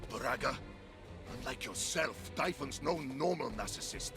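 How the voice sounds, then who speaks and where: An actor playing an insecure, blustering war god in bird form, with a gravelly, pompous, self-pitying delivery for a video game. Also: male voice, mid-range voice, lively intonation, measured pace, music in the background, close to an everyday voice. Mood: indignant. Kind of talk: animated video game character acting.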